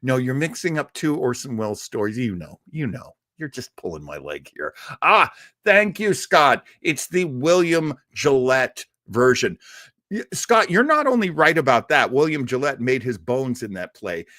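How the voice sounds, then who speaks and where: A man's voice: medium pitch, sing-song, moderate pace, sharp tone, no echo, clean studio sound. A man hosting a livestream, chatting casually and answering viewers, rambling with laughs and restarts. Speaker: livestream host. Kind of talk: casual chat with live chat viewers.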